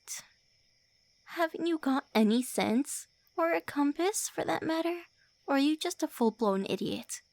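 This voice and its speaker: A centuries-old vampire woman, voiced by a woman, prickly on the surface and lonely beneath it, speaking in slow, soft, often whispered lines. Her fairly high voice carries a gravelly, creaky edge in this ASMR roleplay. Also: animated, no room sound. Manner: tsundere, sharp then wistful.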